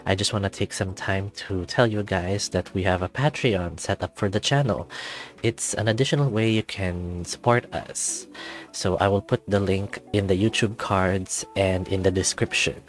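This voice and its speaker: A man hosting his channel, reading a visual novel aloud in a relaxed, chatty way. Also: low voice, moderate pace. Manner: relaxed, chatty, laughs at the text. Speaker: channel host.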